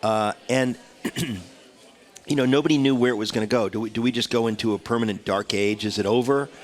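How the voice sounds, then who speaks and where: A man's voice: medium pitch, steady tone, a little fast. A man with a cold and a stuffed-up voice, hosting a radio talk show in a rambling, chatty way. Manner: rambling, chatty, many restarts. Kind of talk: casual radio interview chat. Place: radio studio.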